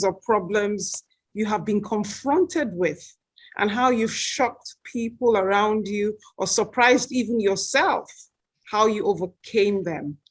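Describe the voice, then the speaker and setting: A woman conducting an interview, encouraging her guest and praising her answers. She often stumbles and repeats words as she starts a question. Her voice sits at a middle pitch for a woman.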